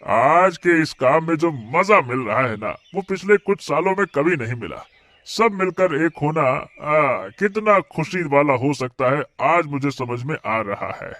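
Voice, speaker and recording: An actor playing an earnest, grateful village eatery owner in a Hindi cartoon story, with drawn-out interjections. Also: male voice, fairly high pitch, lively intonation, a bit bright, dry recording. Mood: happy. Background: background music.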